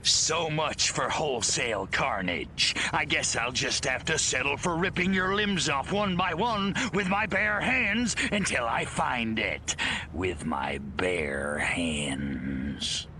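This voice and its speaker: An actor playing a small, grumpy alien invader in a video game, gravelly, sardonic and theatrical with his pompous insults. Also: medium pitch, animated, slow pace, sharp tone, slight background noise. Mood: menacing, sarcastic. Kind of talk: video game cutscene acting.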